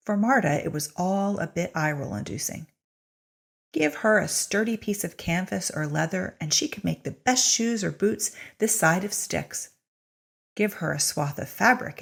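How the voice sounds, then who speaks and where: Woman, scripted storytelling, husky and heavily fried voice, wry, expressive. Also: on the low side. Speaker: audiobook-style reader of a short story.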